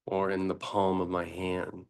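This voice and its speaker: Very slow, low-voiced man guiding meditation. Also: completely flat delivery, a little mellow, clear, resonant tone. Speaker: meditation teacher. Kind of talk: unscripted guided meditation.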